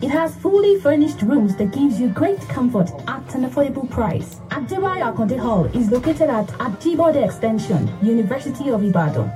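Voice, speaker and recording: A woman's voice: high-pitched, very animated, fairly quick, few pauses. A woman reading scripted radio advert narration. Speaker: advert voice-over. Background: music bed.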